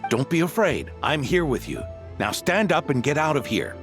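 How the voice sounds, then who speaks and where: An actor playing a kindly old knight and protective grandfather, gravelly and reassuring. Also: fairly high pitch, very animated, normal speed. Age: older. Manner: warm, reassuring, gently scolding. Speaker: game character. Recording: game audio with music behind.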